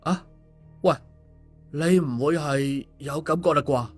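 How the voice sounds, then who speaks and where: A man's voice: a little high, takes it slowly. Man voicing a glib, cheeky schemer over faint music. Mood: needling. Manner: teasing, mock-sincere, exclamatory. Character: glib, cheeky male schemer needling a woman. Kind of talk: audiobook dialogue.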